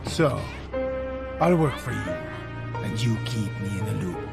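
An actor playing a tough, cocky mercenary hero in a video game, terse and brooding with a gravelly voice over music. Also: male voice, on the low side, animated, unhurried. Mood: cool, businesslike. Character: tough, cocky male gun-for-hire action hero. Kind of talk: video game cutscene acting. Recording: music behind.

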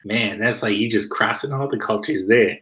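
Easygoing co-host, man, a touch low, loose chatty delivery. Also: normal speed, fairly bright tone. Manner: easygoing, laughing, loose phrasing. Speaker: comic artist and co-host. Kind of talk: casual video call chat.

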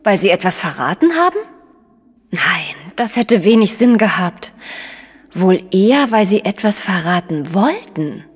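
An actress playing a sharp, sardonic female investigator, questioning and pointed, in a crime radio play. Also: mid-range voice, animated, measured pace, breathy edge, long reverb tail, faint music behind. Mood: probing.

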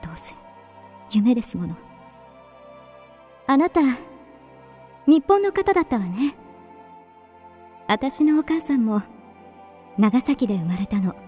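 A woman's voice: fairly high pitch, sing-song, takes it slowly, long pauses, mellow, soft background music. An actress playing a lonely, dreamy woman lost in romantic fantasy, with polite, theatrical delivery in a radio drama. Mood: coy, dismissive.

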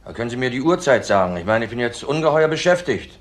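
An actor playing a brusque, harried widower in a crime drama, impatient in manner. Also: male voice, mid-range voice, big pitch swings, fast talker, natural voice. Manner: impatient, listing chores. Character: brusque, harried male widower under suspicion. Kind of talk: German crime drama acting.

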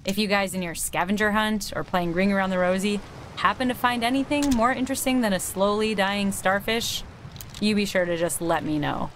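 A woman's voice: mid-range voice, a little fast, fairly bright tone, a little hiss or hum, lightly acted. Snide, needling teenage girl, played by a woman. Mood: smug, cutting. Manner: sarcastic, dry, goading. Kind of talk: naturalistic video game voice acting.

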